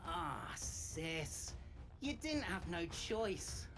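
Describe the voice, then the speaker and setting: A woman's voice: on the low side, very animated, extremely slow, heavily acted. An actress playing a scrappy, defiant and loyal young street girl in a video game, talking in punchy, emotive slang. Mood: anguished. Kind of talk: video game character acting. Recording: music under the voice.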